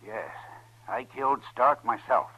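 Forceful, husky troubled colonel. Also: male voice, mid-range voice, unhurried, dull audio. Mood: anguished. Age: middle-aged. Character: proud, troubled older colonel, father of a grown son. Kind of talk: 1950s radio western acting.

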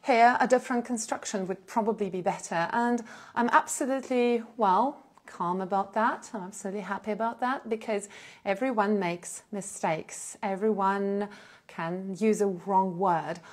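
A woman, hosting a live stream and telling her own story in a casual, animated way, with fillers and short laughs. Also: mid-range voice, normal speed. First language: Russian. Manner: animated, gestures a lot while talking, with frequent fillers and short laughs. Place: indoor room in front of a plain blue backdrop. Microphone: small clip-on lapel mic.

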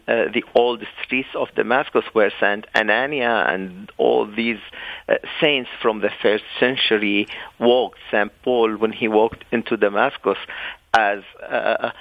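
A man in his forties from Syria with an Arabic accent, a pastor speaking haltingly with many fillers in an interview. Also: on the low side, vocal fry, no echo. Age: 40s. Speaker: pastor guest. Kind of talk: interview over phone line. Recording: dull lo-fi phone line.

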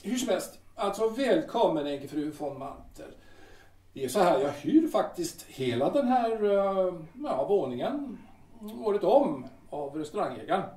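Haughty, fussy café owner played by a man for period audio drama; pompous, animated delivery, a little off-mic. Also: sits high. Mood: smug courtesy. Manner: pompous, hesitant with drawn-out ers. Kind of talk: period audio drama acting, 1930s setting. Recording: roomy, slightly distant microphone.

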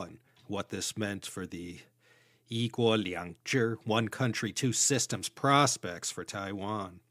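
A man from the United States hosting a history podcast, reading scripted narration in a measured storytelling way with dry asides. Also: fairly low pitch, fairly bright tone, no echo. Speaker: podcast host.

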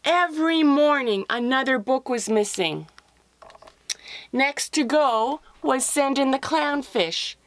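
A middle-aged woman reads a children's picture book aloud in an expressive, deliberate storytelling manner. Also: high-pitched, no room sound. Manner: expressive, deliberate, with drawn-out phrases. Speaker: author reading her picture book aloud.

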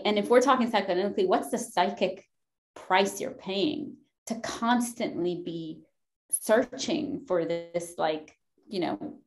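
A woman in her thirties from Lebanon, a podcast guest talking passionately and emphatically on a video call from a room at home, swearing and laughing often. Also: fairly low pitch. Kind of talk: passionate conversational discussion. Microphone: video call webcam.

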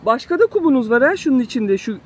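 A woman's voice: sits high, very animated, quick pace. A woman in her thirties, a mother filming her children as they play in a backyard, talking in a playful, coaxing way. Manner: playful, coaxing, laughs often. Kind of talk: casual family vlog narration. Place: backyard play area outdoors. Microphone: handheld phone camera.

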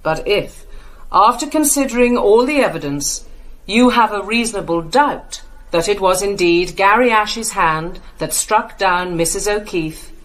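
An actress playing a formal, persuasive British defence barrister addressing a jury in a radio drama. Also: female voice, mid-range voice, animated, natural voice, rough recording. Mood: measured, persuasive. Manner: formal, deliberate.

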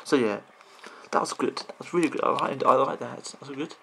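A croaky-voiced man in his thirties rambles through his thoughts, restarting sentences and leaning on "you know". His voice is gravelly with fry, and there is a faint hiss under it. He is indoors, talking while he browses. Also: mid-range voice, a little fast, sharp tone. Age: 30s. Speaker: video game vlogger. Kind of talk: rambling off-the-cuff commentary. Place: indoors at a computer.

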